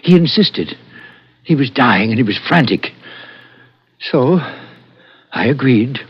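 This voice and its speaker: An actor playing an anguished scientist in a 1960s radio drama, speaking in a halting, emotional, pleading way. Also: male voice, medium pitch, animated, husky, full character voice. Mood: troubled, confessing. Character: anguished scientist professor guarding a monstrous secret. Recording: dull vintage radio recording.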